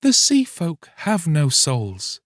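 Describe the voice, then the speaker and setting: Man voicing a wistful high-pitched little mermaid. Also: huge pitch swings, takes it slowly, dry recording, clean studio sound, exaggerated voice. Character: wistful, gentle little mermaid, a young female sea creature.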